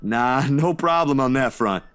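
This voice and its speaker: A man voice-acting a steady, easygoing friend in a visual novel, casual and coaxing as he reassures. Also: medium pitch, a little slow, clean tone. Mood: gentle, lighthearted.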